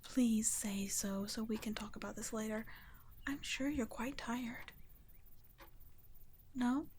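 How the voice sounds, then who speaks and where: A woman voicing a gentle, guilt-ridden vampire guardian in an ASMR roleplay, speaking in a soft, breathy half-whisper with a hushed, halting, tender delivery. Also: medium pitch, a little hiss or hum, natural voice. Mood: hushed, reluctant. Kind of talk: ASMR roleplay, scripted intimate monologue.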